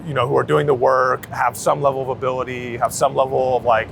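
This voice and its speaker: A man gives a casual outdoor interview as a street photographer. His mid-range voice has a gravelly creak, and his wording is loose and slangy. Faint city street noise sits around him. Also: medium pitch, level tone, a little fast, a bit bright.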